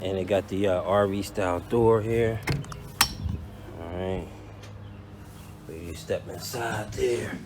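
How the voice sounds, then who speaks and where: A man's voice: low voice, takes it slowly, pauses often, very noisy. An American man talking casually to viewers as a vlogger, slangy and informal, showing them around as he goes. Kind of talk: casual unboxing and walkthrough talk to camera.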